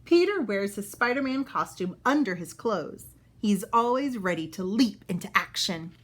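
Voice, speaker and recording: A woman reading a children's book aloud as a storyteller, expressive and pausing to explain things for young listeners. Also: mid-range voice.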